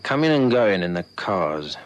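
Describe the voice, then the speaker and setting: A man's voice: low voice, very animated, moderate pace, dull audio, a little hiss or hum. A South African man playing a suspicious, self-respecting neighbour in a radio drama, blunt and grumbling. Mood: grudging, suspicious. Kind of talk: old radio drama acting.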